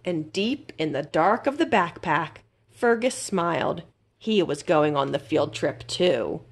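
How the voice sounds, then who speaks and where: Animated woman reading a children's story. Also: low voice, slow, slightly rough. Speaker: library storytime reader. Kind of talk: expressive children's read-aloud.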